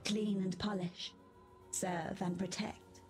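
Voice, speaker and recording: An actress playing a mad, weepy, fragile queen in a video game, speaking in slow, disjointed riddles. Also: medium pitch, little pitch movement, darkish tone, lightly acted. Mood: mournful. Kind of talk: video game character dialogue. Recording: music behind the voice.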